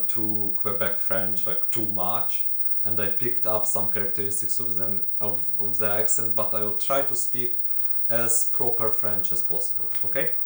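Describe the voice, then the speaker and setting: Slow, hesitant Ukrainian-accented man. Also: fairly low pitch, echoey room. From Volhynia, Ukraine. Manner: hesitant, many fillers and restarts. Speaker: language teacher. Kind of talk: casual multilingual vlog.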